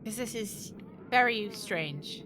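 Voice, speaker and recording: A woman's voice: medium pitch, lively intonation, slow pace, noisy background. A British woman chatting casually and thinking aloud to viewers as she streams a video game. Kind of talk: casual chat while playing a game.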